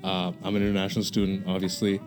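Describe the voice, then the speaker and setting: A young man from Bangladesh talks through a process in a relaxed, halting way, full of ums and uhs. His voice sits on the lower side. A faint guitar plays behind him. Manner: hesitant, many fillers. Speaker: podcast guest. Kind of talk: casual explanation.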